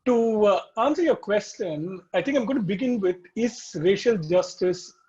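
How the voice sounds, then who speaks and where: A man's voice: high voice, lively intonation, moderate pace, a little mellow, muffled recording. A man, a business school dean, speaking courteously and formally on a video-call panel. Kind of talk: panel discussion.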